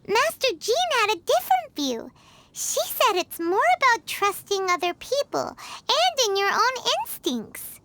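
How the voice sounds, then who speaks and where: Small chatty fairy sidekick for an anime-style game: squeaky, inquisitive, very animated melody. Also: female voice, full character voice. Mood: pondering. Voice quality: squeaky, childlike. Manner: expressive, inquisitive. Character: small childlike fairy companion, chatty and earnest. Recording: music behind the voice.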